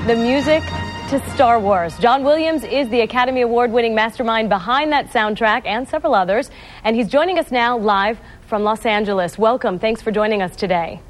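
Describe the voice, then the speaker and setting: A woman's voice: fairly high pitch, fairly quick. Woman hosting, music behind her. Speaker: broadcast host interviewer. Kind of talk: live broadcast interview.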